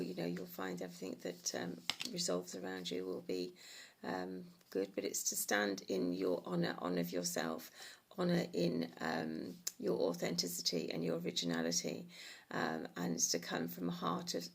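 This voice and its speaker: Woman, middle-aged, oracle reader, croaky and husky, low, rambling livestream delivery. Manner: soft, meandering, many ums. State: hoarse. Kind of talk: livestream card reading.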